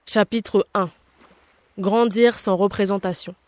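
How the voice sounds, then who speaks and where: A woman in her twenties narrates a personal, scripted podcast essay. Her delivery is measured and reflective, unfolding in short phrases at a medium pitch. The sound is very dry, with no room around the voice. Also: steady tone, a little mellow, no echo. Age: 20s.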